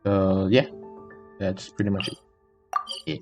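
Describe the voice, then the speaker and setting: A man's voice: sits low, a little slow, gravelly, darkish tone. A man giving casual, unscripted gameplay commentary in a hesitant, rambling, easily distracted way. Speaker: gaming video creator. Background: faint game music.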